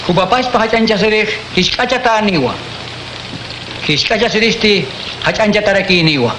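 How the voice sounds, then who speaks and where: High-voiced man in a radio drama. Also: normal speed. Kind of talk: radio drama narration and acting. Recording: echoey room with noise.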